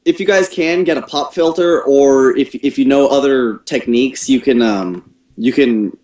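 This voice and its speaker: A man's voice: medium pitch, normal speed, a little mellow, recorded from a distance. A man chatting casually and giving advice in an online team meeting. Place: online group voice call. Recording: echoey online call audio.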